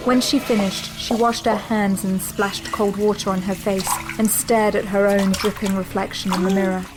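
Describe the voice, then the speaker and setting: A woman giving measured, scripted narration of a spy thriller. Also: mid-range voice, over music, poor audio. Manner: measured, even storytelling.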